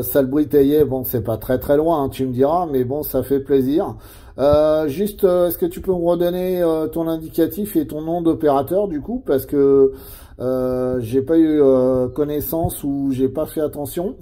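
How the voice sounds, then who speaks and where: A man's voice: on the high side, mellow. A middle-aged man chatting casually as a CB radio operator, adding tag words at the ends of phrases.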